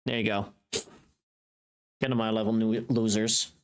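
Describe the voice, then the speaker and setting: A woman hosting a casual livestream, chatting with viewers in a playful, teasing, sweary and expressive way. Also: a bass voice, measured pace, a little hiss or hum. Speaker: livestream host.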